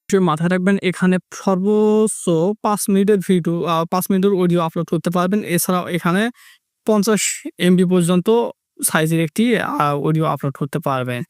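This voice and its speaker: A man with a high voice walks viewers through a tutorial step by step. His delivery is fast and repetitive in its instructions, and the recording is dry and close. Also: smooth, dry recording. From Bangladesh. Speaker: tech tutorial host. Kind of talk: step-by-step screen tutorial narration.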